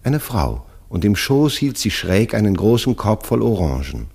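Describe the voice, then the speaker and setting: A man narrating a literary radio play in a husky voice, with lyrical, expressive storytelling. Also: fairly low pitch, normal speed.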